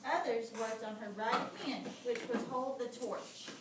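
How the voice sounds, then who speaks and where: A high-pitched woman reads aloud as a teacher, pausing to explain and question her pupils in an instructive way. She is recorded at a distance from the microphone in an echoey room, with clear background noise. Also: steady tone. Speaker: teacher reading aloud to children. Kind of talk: read-aloud lesson with prompting questions. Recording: very echoey noisy room, far from mic.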